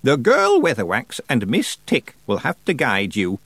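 Storybook: a man doing a very old sly witch woman, raised pitch, slow lofty drawl, very dry close sound. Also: wildly swooping pitch, a bit bright, husky, no echo, full character voice. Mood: teasing. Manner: slow, drawn-out, lofty delivery. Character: ancient stern female witch, sly and dry-humored.

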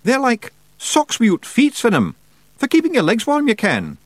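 A man's voice: very high-pitched, huge pitch swings, moderate pace, no echo, pitched above the performer's own voice, full character voice. A man voicing a tiny, scrappy fairy clansman with a Scottish accent, blustering and nervously eager, in a storybook reading. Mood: matter-of-fact.

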